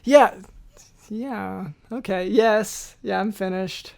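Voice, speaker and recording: A man's voice: high voice, takes it slowly, vocal fry, darkish tone. A man hosting a podcast, reading a medical lesson and chatting with hesitant stammers. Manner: reads dense medical terms with gasps for breath, then hesitant and stammering. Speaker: podcast host. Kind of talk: read medical lesson and casual chat.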